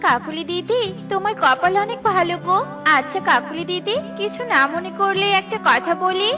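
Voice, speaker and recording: A woman's voice: very high voice, animated, moderate pace. A woman voicing a poor, humble young mother bird in a children's cartoon, polite and pleading. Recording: music behind the voice.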